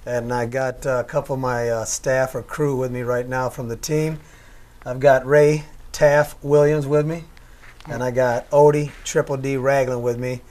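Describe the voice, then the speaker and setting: A man's voice: mid-range voice, little pitch movement, normal speed, darkish tone. A man hosting a local community TV talk show, introducing his crew in a loose, rambling way. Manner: easygoing, rambling with fillers. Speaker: host.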